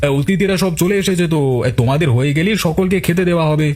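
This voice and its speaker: A man playing an excitable, easily offended village man in a children's cartoon. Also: high-pitched, quick pace. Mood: businesslike. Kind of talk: Bengali children's cartoon dialogue.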